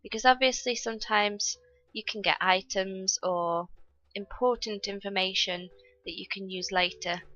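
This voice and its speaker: A woman's voice: mid-range voice, bright, forceful tone, highs cut off. A young woman recovering from a cold, chatting through her gameplay and explaining each step to viewers. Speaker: gaming YouTuber. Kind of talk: casual gameplay commentary.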